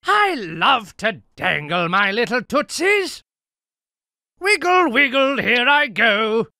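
A man's voice: very high-pitched, very animated, slow, bright, forceful tone, no room sound, studio quality, exaggerated voice. A man voicing a fussy, indignant middle-aged civilian for improvised video game lines, prim, whiny and pleading. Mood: silly, playful.

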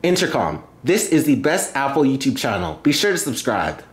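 A man's voice: medium pitch, big pitch swings, moderate pace. A man hosting a tech video, narrating a hands-on tutorial step by step and often repeating himself. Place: indoor room at a table. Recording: roomy, echoey indoor sound.

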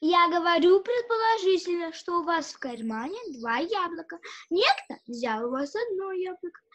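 A woman's voice: extremely high pitch, very animated, moderate pace, a bit bright, exaggerated voice. A child playing a prim, bossy girl doll who instructs and scolds like a governess in an audio play. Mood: prim, strict.

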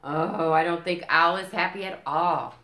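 A middle-aged woman storyteller reads a picture book to young children. She speaks slowly, fairly low and brightly, pausing to explain and ask questions. The room sounds echoey, as if recorded from a few steps away. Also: steady tone. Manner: simple explanations with checking questions. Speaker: library story time reader. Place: indoors in a curtained room. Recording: roomy, echoing small-room sound.

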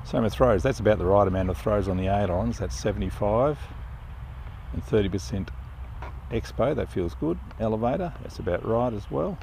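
An older man flying a model plane in an open field outdoors, giving relaxed, enthusiastic commentary as he flies. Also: on the low side, fairly quick, gravelly, slight background noise. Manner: relaxed, enthusiastic, thinking aloud while flying. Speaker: RC pilot reviewing a plane. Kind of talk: casual live flight commentary.

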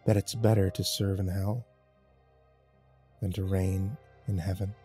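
Man acting a low, grim, sardonic avenger. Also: fairly even intonation, brisk, dark tone, faint music behind, natural voice. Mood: menacing. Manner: grave, grating, sardonic. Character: grim, sardonic vengeful man back from the dead.

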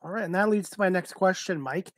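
A man's voice: sits high, fast talker, dry recording. A middle-aged man hosting a talk show, asking informal, somewhat hesitant questions over a video call. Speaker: talk show host interviewer.

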